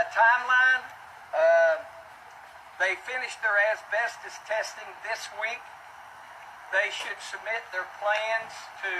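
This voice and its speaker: Male city official, high voice, folksy and halting press remarks, picked up from a distance. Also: sharp tone. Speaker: city official speaking at an announcement. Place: public announcement event. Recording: noisy distant mic, ambient background hum.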